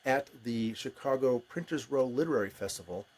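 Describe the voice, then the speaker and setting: An American man with a medium-pitched voice hosts an interview podcast. He talks in a casual, conversational style with his guest. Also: lively intonation, brisk, a little mellow. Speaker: podcast host.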